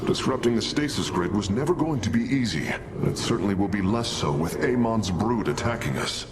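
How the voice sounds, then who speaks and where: An actor playing a careful alien engineer in a video game, explaining things in a precise, formal, worried manner. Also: male voice, lowish voice, expressive, a little fast, heavy background noise, natural voice. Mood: cautious, serious. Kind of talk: dramatic video game acting.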